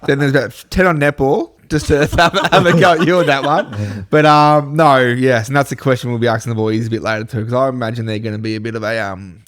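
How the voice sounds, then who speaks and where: A man's voice: medium pitch, steady tone, quick pace, few pauses, fairly bright tone. A man hosting a podcast, teasing his guests. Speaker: podcast host. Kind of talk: casual podcast chat.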